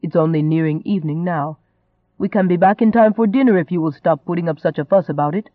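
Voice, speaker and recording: A man's voice: a little high, fast, no echo, phone-quality sound, lightly acted. A man voicing a charming young nobleman in an audiobook, a teasing and cajoling rogue who stays unruffled. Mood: amused, coaxing.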